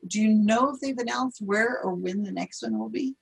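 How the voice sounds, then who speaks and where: American woman with a very husky voice. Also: medium pitch, normal speed. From United States. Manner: chatty, laughs easily, trails off mid-sentence. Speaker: podcast host. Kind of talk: casual video-call interview. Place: home room with shelves and curtains. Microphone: video call webcam mic.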